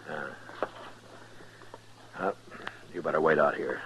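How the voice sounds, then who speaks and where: An American actor playing a gruff, world-weary frontier marshal in a 1950s western radio drama, speaking tersely and with authority. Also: male voice, a bass voice, slow, a bit bright, slight background noise, exaggerated voice. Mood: concerned. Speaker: lead actor and first-person narrator. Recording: muffled vintage radio recording with music.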